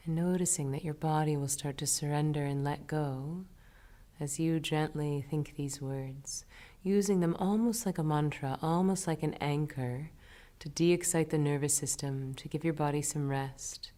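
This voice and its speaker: A low-voiced woman leads a guided meditation. She gives her instructions gently and soothingly, taking her time with each phrase. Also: normal speed.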